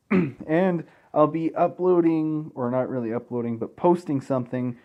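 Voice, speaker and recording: A young man hosting a casual Let's Play, talking in a halting way and stumbling over his words. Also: on the high side, highs cut off. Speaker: gaming video host.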